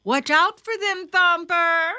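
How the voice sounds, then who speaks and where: A woman's voice: very high-pitched, very animated, drawn-out words, creaky, pushed and bright, no room sound, clean studio sound, pitched above the performer's own voice, exaggerated voice. A woman voicing an anxious, protective mother rabbit in a storybook, scolding in urgent exclamations. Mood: cautioning.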